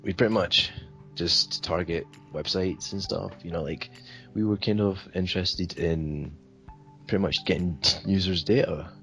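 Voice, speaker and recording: A man's voice: sits low, fairly even intonation, unhurried, fairly bright tone, faint music behind. A man from Sweden answering interview questions in a hesitant, rambling way, full of fillers. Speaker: interview guest.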